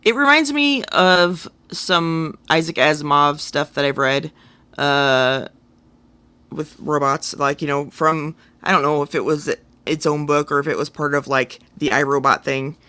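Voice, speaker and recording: A man's voice: fairly high pitch, measured pace, bright, forceful tone, clean tone, dry recording. A man co-hosting a podcast, giving casual opinions in a tentative way with many qualifications. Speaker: podcast co-host. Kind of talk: casual discussion and review.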